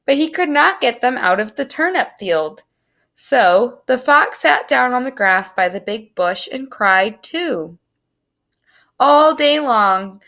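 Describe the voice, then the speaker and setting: A woman reading a children's storybook aloud as narrator, with simple, deliberate phrasing. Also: a little high, bright, forceful tone.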